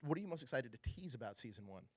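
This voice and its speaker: A man's voice: mid-range voice, very animated, racing. A man hosting a casual entertainment interview, enthusiastic and affirming with his guests.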